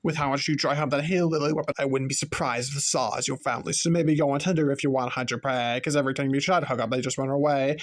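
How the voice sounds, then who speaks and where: A man's voice: mid-range voice, fairly even intonation, quick pace, few pauses, lightly acted. A man performing a battle rap as an arrogant, refined cannibal killer, boastful and mocking.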